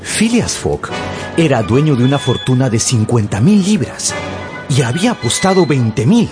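A man's voice: medium pitch, big pitch swings, moderate pace, music in the background. A man narrating a radio novel as a scripted, dramatic storyteller.

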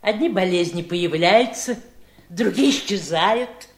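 Radio play role: calm household philosopher, slow deliberate delivery, echoing room sound. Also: female voice, lowish voice, slight background noise. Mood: philosophical. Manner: reflective, deliberate. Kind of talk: Soviet radio drama acting.

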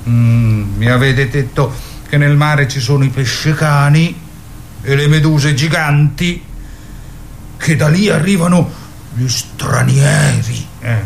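A slow, halting, dreamy boy. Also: on the high side, gravelly, slight background noise. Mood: stubborn, halting. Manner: halting, hums hesitantly, insistent. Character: stubborn dreamy thirteen-year-old Italian boy, mind takes flight. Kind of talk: theatrical radio drama dialogue.

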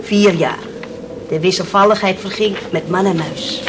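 A woman's voice: lowish voice, normal speed, natural voice. An actress playing an earthy, outspoken seaman's widow in a radio drama, talkative and wry. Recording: noisy old radio play recording.

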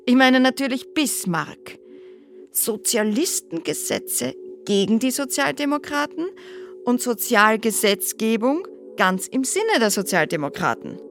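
A keen woman expert in a radio play. Her voice is fairly high, lecturing in emphatic short phrases, with music clearly playing behind. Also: big pitch swings, measured pace, fairly bright tone, full character voice. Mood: keen explaining. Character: keen woman expert explaining political history. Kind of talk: radio drama monologue, lecturing.

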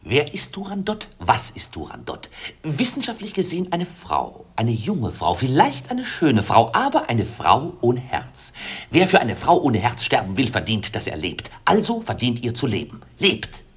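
A man's voice: medium pitch, wildly swooping pitch, moderate pace, breathy edge, heavily acted. A man playing a pedantic comic chancellor in a theatrical radio play, pompous and declamatory in a mock-scholarly way. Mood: pedantic, argumentative. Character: pedantic chancellor, comic male courtier and announcer.

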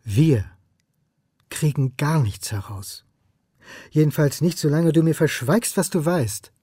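Mellow, husky, brooding history lecturer. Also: male voice, a little high, expressive, slow, no room sound, studio quality, exaggerated voice. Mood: wary. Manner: terse, clipped sentences, insistent questioning. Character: embattled male history lecturer, brooding, stubborn and suspicious. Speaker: actor in radio crime drama. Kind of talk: naturalistic radio drama with interior monologue.

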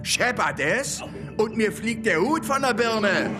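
A man's voice: sits high, lively intonation, takes it slowly. An actor playing a boastful, coarse Wild West lawman telling war tales with crude swagger in a German radio play. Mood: gritty, swaggering. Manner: crude, swaggering storytelling with cursing. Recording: music bed behind the voice.

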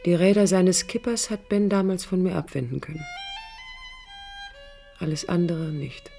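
A woman's voice: lowish voice, normal speed, creaky, mellow. An actress playing a proud, brooding woman architect in a radio play, speaking in an introspective, literary and bitter way. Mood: longing. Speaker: lead character and first-person narrator. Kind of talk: radio play acting, interior monologue and dialogue. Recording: studio radio drama with music behind.

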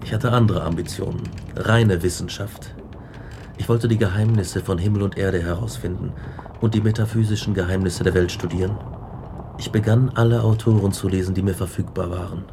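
Radio drama casting: earnest brooding scientist, low creaky voice, reflective narration. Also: male voice, steady tone, fairly quick, noisy background. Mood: reflective, sombre.

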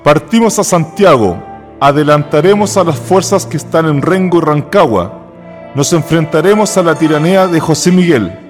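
An actor playing a resolute patriot military commander in a historical radio drama, speaking in a solemn, declamatory way. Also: male voice, a little high, lively intonation, normal speed, lightly acted. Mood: resolute, defiant. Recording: long reverb, music behind.

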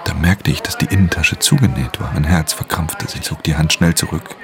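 Brooding crime-drama thief: extremely low, creaky voice, guarded and world-weary, intimate narration over music. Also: male voice, fairly quick. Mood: brooding, tense. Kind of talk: intimate first-person radio drama narration.

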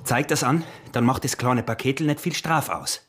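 Radio drama role: greedy, uneasy smuggler husband, wheedling, breathy-rough mid-range voice, Austrian accent. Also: male voice, medium pitch, moderate pace, fairly bright tone, soft background music. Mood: scheming, uneasy.

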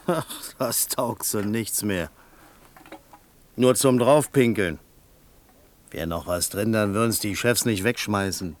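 An actor in a radio play voicing a gruff, scornful male mine worker who talks in a dismissive, colloquial way. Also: lowish voice, takes it slowly, pauses often.

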